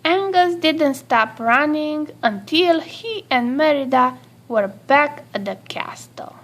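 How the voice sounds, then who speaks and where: A woman with a high voice reads a children's storybook aloud. She takes her time over each phrase and tells it expressively, at a very slow pace. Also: lively intonation, fairly bright tone. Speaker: storybook reader.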